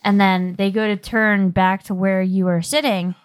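Woman, medium pitch, game master, casual tabletop narration. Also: flat delivery, moderate pace, clean tone.

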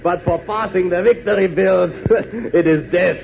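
High-voiced, barking German captain. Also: male voice, a little slow, creaky. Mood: gloating, cruelly amused. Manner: barking, contemptuous. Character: sneering, bullying German army captain, male. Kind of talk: 1940s radio acting. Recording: noisy, muffled 1940s radio recording.

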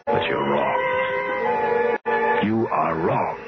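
Earnest man warning mankind: low register, dramatic pauses, urgent delivery, vintage 1960s radio thriller narration, dull band-limited sound. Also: expressive, exaggerated voice. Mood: urgent pleading. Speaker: actor narrating as the lead character. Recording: dull vintage radio broadcast, loud music.

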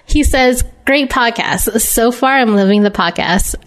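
A woman co-hosting a podcast, chatting casually and jokingly as she presents gaming news. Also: a little high. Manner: chatty, jokey, quick to laugh. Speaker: podcast co-host.

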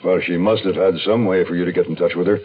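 A man's voice: sits low, steady tone, quick pace, soft background music, natural voice. An actor playing a wary man on the run, telling his story tersely in a 1970s American radio suspense drama. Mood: guarded, insistent. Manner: terse, guarded. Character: terse, wary male fugitive narrating his own story. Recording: dull 1970s radio recording.

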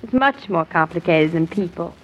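A woman's voice: fairly low pitch, lively intonation, moderate pace. An actress playing a naive, playful young wife in a 1940s radio drama, with a girlish, guileless way of talking. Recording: dull, lo-fi 1940s radio broadcast.

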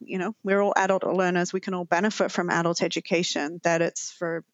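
A woman's voice: lowish voice, little pitch movement, fairly quick, no room sound, dull audio. A woman with a New Zealand accent, a professor answering interview questions thoughtfully with frequent restarts. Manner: thoughtful, many restarts and fillers.

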